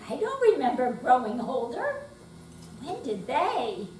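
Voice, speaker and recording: A woman's voice: sits high, wildly swooping pitch, measured pace, darkish tone. An older woman giving a scripted dramatic reading as a readers theater performer. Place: hall at a senior center. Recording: echoey room, distant mic, faint hum.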